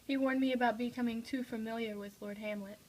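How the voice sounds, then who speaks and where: Dutiful young noblewoman, higher voice played by a woman. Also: fairly even intonation, fast, darkish tone, a little hiss or hum, lightly acted. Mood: obedient. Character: dutiful, obedient young noblewoman Ophelia in puppet show. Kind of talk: amateur scripted puppet-show acting.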